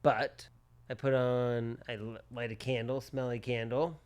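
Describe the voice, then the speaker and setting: A man's voice: mid-range voice, level tone, a little slow, clear, resonant tone. A man in his forties, a plastic surgeon co-hosting a podcast, chatting casually in short repeated phrases. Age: 40s.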